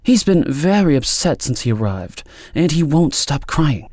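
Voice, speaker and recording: A man's voice: on the high side, sing-song, measured pace, a little mellow, no echo, clean studio sound, voice pushed up. Man voicing a cold, stern, condescending woman. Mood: cool, strict. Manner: clipped, impatient, condescending.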